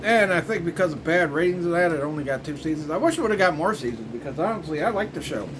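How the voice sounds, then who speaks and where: A man's voice: on the high side, lively intonation, quick pace, noisy background. A man casually rambling through a commentary as host of a review show, in informal, loosely strung phrases. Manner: informal, repetitive filler phrases like "you know" and "frickin'".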